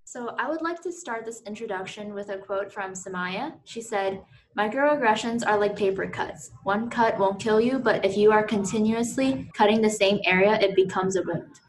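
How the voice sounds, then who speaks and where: A woman hosting a group discussion, reading a measured, reflective introduction. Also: medium pitch, slight background noise, poor audio. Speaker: segment host.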